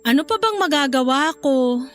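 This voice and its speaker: Casting: young married wife for radio drama, very high-pitched, dutiful with a watchful jealous streak. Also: female voice, expressive, moderate pace, a bit bright, faint music behind. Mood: resigned, jealous. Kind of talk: Filipino radio drama acting.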